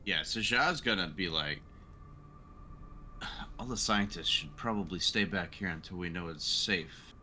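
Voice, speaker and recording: A man's voice: low voice, measured pace, pauses often, sharp tone. A man playing a tabletop roleplaying game, talking casually and slipping in and out of character. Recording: background noise, room ambience.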